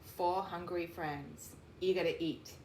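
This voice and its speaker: A woman reading a picture book aloud like a teacher, with deliberate, emphatic phrasing and explanatory asides, in a roomy recording. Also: lowish voice, steady tone, a little mellow. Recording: roomy echo, faint background noise.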